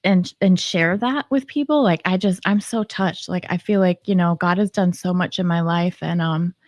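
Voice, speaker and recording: Calm lowish woman guest, peaceful and measured, dry close sound. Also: no room sound. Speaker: guest, author. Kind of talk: reflective personal sharing.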